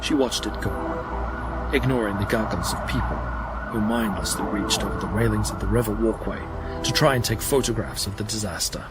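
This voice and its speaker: A man narrates a sci-fi audio drama in a fairly deep voice. The reading is scripted and dramatic, with loud music running underneath. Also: moderate pace. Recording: prominent music bed under the voice.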